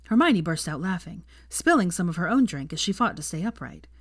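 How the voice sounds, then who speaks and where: A woman's voice: on the low side, sing-song, a little fast, dry recording, studio quality. A woman reading a story aloud as scripted audiobook narration. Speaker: fan fiction audiobook narrator.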